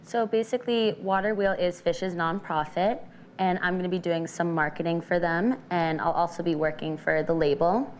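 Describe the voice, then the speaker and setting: Chatty young woman with a low voice. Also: fairly even intonation, normal speed. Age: 20s. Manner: upbeat, chatty, says "basically" and "like". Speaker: guest. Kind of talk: casual studio interview. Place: TV studio.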